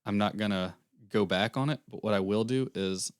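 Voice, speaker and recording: Young male podcast co-host, low and slightly hoarse, casual rambling delivery, dry close sound. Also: moderate pace, dry recording. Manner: rambling recap with fillers. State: losing his voice, airy. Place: small podcast room.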